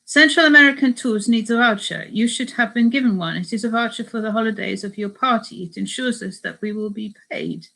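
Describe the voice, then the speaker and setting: A woman reads out an officious male tour agent from a travel memoir, a Spanish speaker who insists on procedure. The voice is heavily creaky and the delivery pressing. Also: a little high, fairly quick, few pauses, bright, forceful tone, full character voice, poor audio. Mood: demanding.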